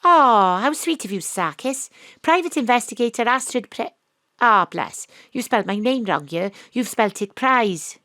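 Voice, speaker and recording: A woman's voice: a little high, expressive, measured pace, bright, forceful tone, no echo, clean studio sound. An actress playing a brash, wry Welsh private detective with a Welsh accent, narrating her own story. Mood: cheerful. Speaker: narrator and lead.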